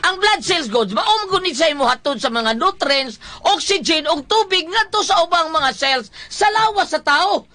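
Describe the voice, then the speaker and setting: High, bright-voiced Cebuano radio host. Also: dry recording. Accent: Cebuano Filipino. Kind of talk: casual radio banter and sponsor reads.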